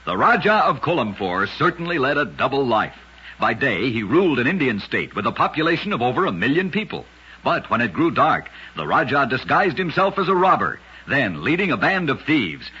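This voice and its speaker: A man narrates like an old-time radio host, with a very husky, rough-edged voice that still cuts through brightly. His scripted delivery is dramatic and lively in pitch. The recording is dull and lo-fi, like an old broadcast. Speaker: host and storyteller of a radio show.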